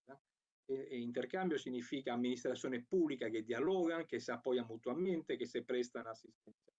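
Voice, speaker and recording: A man from Italy with an Italian accent, giving a conference talk as a program coordinator over a video call, speaking in a measured way with frequent fillers and restarts. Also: medium pitch, a little mellow, lo-fi sound. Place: at home or office, remote.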